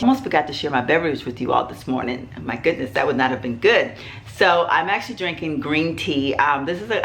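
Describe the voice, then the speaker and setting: A woman's voice: low-pitched, fairly bright tone, slight background noise. A middle-aged woman, sick with a cold, chatting casually and rambling to her viewers as a vlog host. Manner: rambling, chatty, many fillers. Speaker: vlogger host. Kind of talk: casual chat to camera.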